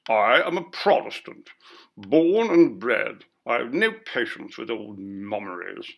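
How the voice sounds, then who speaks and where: A man reading a ghost story, voicing a bold, blustering, pompous gentleman with emphatic, old-fashioned speech. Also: on the low side, big pitch swings, takes it slowly, vocal fry, heavily acted. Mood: stern indignation.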